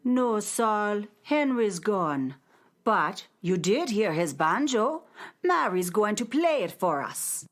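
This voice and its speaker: A woman playing a gentle elderly widow in a nursing home in a radio play, with homespun talk. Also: mid-range voice, very animated, slow pace. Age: older. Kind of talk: radio drama acting. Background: music.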